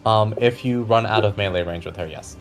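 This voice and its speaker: A man narrating a fantasy tabletop game as game master, describing scenes deliberately and with suspense. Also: lowish voice. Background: background music.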